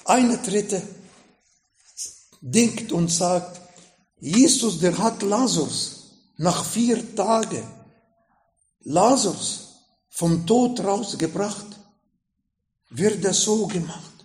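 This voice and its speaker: A man with an Arabic accent preaching a sermon in slow, emphatic phrases that rise sharply in pitch. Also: lively intonation, a little mellow, echoey room. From Middle East. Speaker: pastor.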